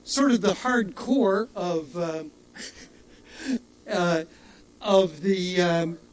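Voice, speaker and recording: A man's voice: high-pitched, extremely slow. An older man emceeing from an outdoor festival stage, giving casual, rambling announcements with many fillers and jokes at his own expense.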